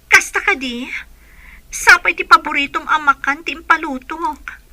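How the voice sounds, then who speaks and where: Bright, high-voiced lovesick young bride. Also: female voice, moderate pace. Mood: disappointed. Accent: Filipino. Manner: earnest, emotional. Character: lovesick, devoted young bride, pleading and hopeful. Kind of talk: Ilocano radio drama acting.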